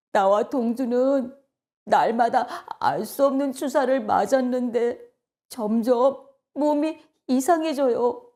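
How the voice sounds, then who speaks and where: A woman's voice: high-pitched, dark tone, heavily acted. A woman voicing a frail, bewildered young male prisoner in a children's story, speaking in a suffering, faltering way.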